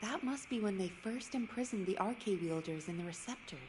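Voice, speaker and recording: A woman playing a brisk, businesslike commander in a sci-fi video game, speaking in a direct, measured, formal way. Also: medium pitch, animated, noisy background, natural voice. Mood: decisive, official. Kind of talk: scripted video game dialogue.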